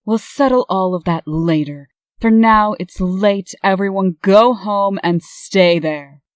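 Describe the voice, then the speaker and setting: A woman's voice: mid-range voice, takes it slowly, dry recording, natural voice. A woman playing a stern, bossy, no-nonsense administrator in a comic dub, curt and commanding. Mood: stern, brisk. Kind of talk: amateur comic dub acting.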